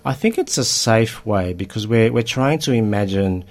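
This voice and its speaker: A man talking reflectively in an interview, halting and repeating words as he searches for phrasing. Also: fairly low pitch, animated. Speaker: writer and storyteller.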